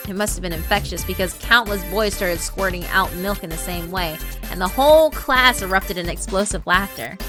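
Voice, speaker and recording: A woman's voice: medium pitch, moderate pace, hardly any pauses. A woman delivering dramatic scripted narration as the narrator of a horror visual novel story. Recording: prominent music behind. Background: background music.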